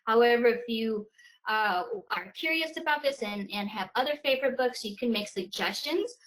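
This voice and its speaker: A woman whose first language is Polish, presenting in a video-call webinar, talking in a halting way with many fillers and restarts. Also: a little high, animated, fairly bright tone, poor audio.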